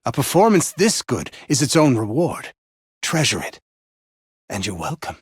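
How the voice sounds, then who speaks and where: A man playing a grandiose, condescending overseer of a sinister facility in a video game, with theatrical, measured, patronizing delivery. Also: mid-range voice, very animated, breathy edge, no echo, studio quality. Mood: smug approval. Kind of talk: scripted video game villain voice lines.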